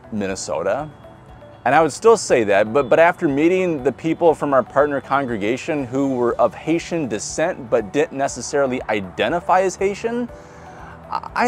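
A man in his forties with a Midwestern American accent, a pastor giving an online sermon to camera in a conversational way. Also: medium pitch. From Nebraska. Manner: conversational, chuckles, repeats words when restarting. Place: outdoors beside a brick building. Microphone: camera at close range.

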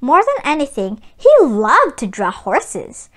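A woman reading a children's picture book aloud as host, with deliberate, sing-song storytelling phrasing. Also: sits high, wildly swooping pitch.

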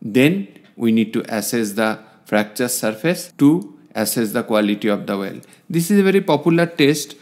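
A man with a mid-pitched voice narrates a technical lecture. He reads his points out like an instructor, explaining each one in a didactic way. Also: lively intonation, moderate pace, hardly any pauses, a little mellow. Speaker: instructor in welding inspection. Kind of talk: scripted lecture narration over slides.

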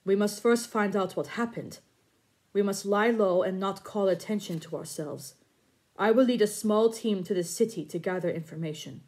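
A woman voicing a determined young male leader who speaks in a measured, steady way in a storybook reading. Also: mid-range voice, natural voice. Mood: firm.

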